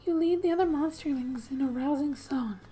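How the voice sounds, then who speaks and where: Soft-spoken high-voiced woman, ASMR narration. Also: a little fast, soft background music. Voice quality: soft, often dropping into a breathy whisper. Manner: gentle storybook-like reading with quiet asides. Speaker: gaming ASMR video host.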